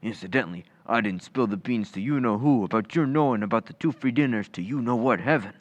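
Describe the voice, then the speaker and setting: A man playing an eager, folksy, bumbling high-school boy in an old-time radio comedy, with an American accent. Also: quick pace, dull audio, lightly acted. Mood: obliging.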